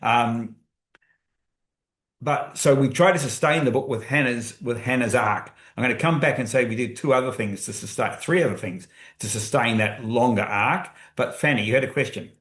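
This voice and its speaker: A man's voice: mid-range voice, moderate pace, fairly bright tone. Man, thinking aloud, frequent restarts, echoey room. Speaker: co-author guest speaker. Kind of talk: webinar talk. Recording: roomy echo.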